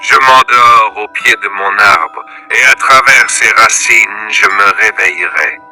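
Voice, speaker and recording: A man with a strikingly bright, lower-pitched voice recites poetry. His delivery is slow, measured and declamatory, as in a scripted reading. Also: faint music behind, low-quality recording. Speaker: poetry reader.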